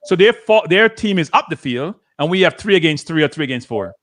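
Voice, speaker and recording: A man's voice: a little high, animated, fairly quick, fairly bright tone. A man from Jamaica with a Jamaican accent, arguing tactics on a live football watchalong and repeating his points. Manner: argumentative, repeats himself, frequent laughter.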